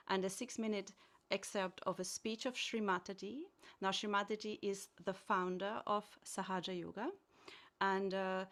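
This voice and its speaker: A woman from Austria, hosting an online meditation event over a video call in a gentle, inviting way, often pausing to rephrase. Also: medium pitch. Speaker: host.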